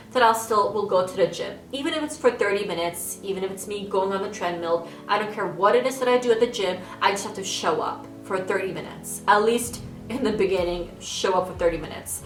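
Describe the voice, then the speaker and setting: A woman's voice: medium pitch, brisk, a bit bright. A young woman, an Afghan American lifestyle vlogger whose first language is Dari, chatting casually to her viewers and mixing in Dari phrases. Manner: upbeat, mixes English with Dari, frequent "uh" and "like". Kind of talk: casual vlog talk to camera. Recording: echoey room from a distance with faint music.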